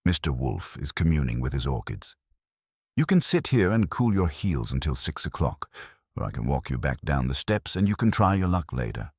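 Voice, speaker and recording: A street-smart, wisecracking detective's assistant in an audiobook mystery, played by a man. He is sardonic and blunt, with an extremely deep voice in a dry recording. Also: very animated, moderate pace, darkish tone, breathy edge, no room sound, exaggerated voice.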